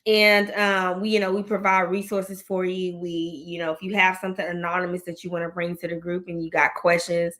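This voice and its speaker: A woman in her thirties hosting a casual chat show, excitable and chatty, laughing often and using slang. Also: fairly low pitch, fairly quick, hardly any pauses. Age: 30s. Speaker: host.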